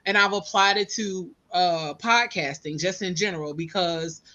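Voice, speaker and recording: A woman co-host with a Southern US accent, chatting casually and animated. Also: fairly low pitch, moderate pace, lo-fi sound. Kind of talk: casual podcast chat.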